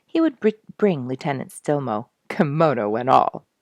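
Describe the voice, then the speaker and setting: A woman reading a story aloud in an expressive, earnest and deliberate audiobook narration. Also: very deep voice, dry recording, studio quality.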